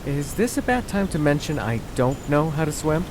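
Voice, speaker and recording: An actor playing an earnest, flustered young army radio technician in an audio drama, eager and anxious. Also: male voice, fairly high pitch, sing-song, moderate pace. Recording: loud effects noise behind.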